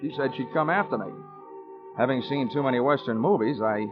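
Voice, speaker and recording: A man's voice: mid-range voice, fairly quick, lightly acted. A man playing a sardonic, breezy insurance investigator who narrates his own case in a 1940s radio drama. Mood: dry, wry. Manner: wry, breezy, wordy. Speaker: lead actor and narrator. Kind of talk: 1940s radio detective acting. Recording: muffled 1940s radio broadcast recording, music.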